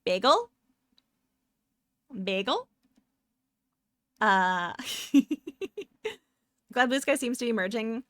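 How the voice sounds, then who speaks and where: A woman's voice: a little high, very animated, very slow, pauses often, a bit bright, no echo, clean studio sound. Chatty young Canadian woman streamer. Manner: chatty, rambling, upbeat. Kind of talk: casual chat with viewers.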